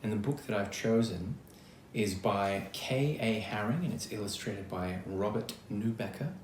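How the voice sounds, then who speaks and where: An Australian-accented man reading a picture book to children as an actor, expressive and chatty, speaking straight to his young listeners. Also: fairly low pitch, measured pace. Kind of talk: children's storytime read-aloud with casual asides. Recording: roomy echo, clear background noise.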